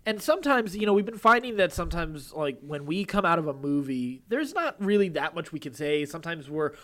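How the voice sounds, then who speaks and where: A man's voice: on the high side, very animated, brisk, bright, forceful tone. A man co-hosting a podcast in casual conversation, talking in a wordy, rambling way as he thinks aloud. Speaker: podcast co-host.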